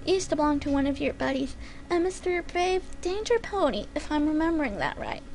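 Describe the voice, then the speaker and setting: A woman's voice: high-pitched, big pitch swings, brisk, a little mellow, slight background noise. A woman voicing a cheeky female pony assassin in a story, sarcastic, flippant and breezily gleeful in manner. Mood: taunting.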